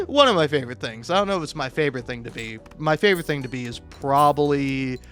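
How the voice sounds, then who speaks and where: A young man telling casual, self-deprecating stories in an animated, rambling monologue, recorded on a modest home mic. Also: fairly high pitch, brisk. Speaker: video creator. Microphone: cheap mic, home recording. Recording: amateur mic with occasional plosive pops. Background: music.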